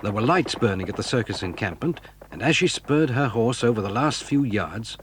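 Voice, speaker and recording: A man's voice: medium pitch, animated, normal speed, noisy background, natural voice. A man narrating a children's adventure drama as a dramatic, scripted storyteller. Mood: suspenseful.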